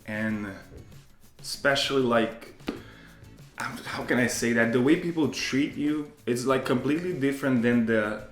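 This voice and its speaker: A man's voice: mid-range voice, moderate pace, reverberant, soft background music. A man from Brazil with a Brazilian accent, a native Portuguese speaker and English teacher, talking emphatically as a guest in a casual interview.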